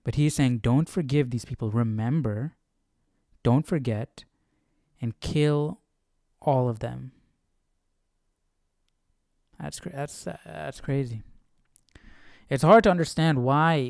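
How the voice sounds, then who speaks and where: A man hosting a casual Bible study, reading aloud and commenting as he questions himself and thinks out loud. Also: medium pitch, takes it slowly, pauses often, dry recording, studio quality. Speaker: host of a Bible study stream.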